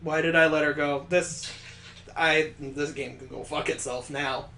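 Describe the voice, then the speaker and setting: A man's voice: fairly high pitch, steady tone, unhurried, vocal fry, bright, forceful tone, slight background noise. A man hosting a let's play of a visual novel, commenting with exasperated, sardonic asides. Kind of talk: live game commentary mixed with reading visual novel text aloud. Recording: roomy, echoing sound.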